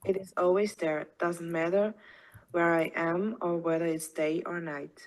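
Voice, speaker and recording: A woman whose mother tongue is Cantonese reads her prepared voiceover slowly and calmly. Her voice sits somewhat low for a woman. It comes across as a recording played during a talk. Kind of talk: read voiceover of a personal language reflection.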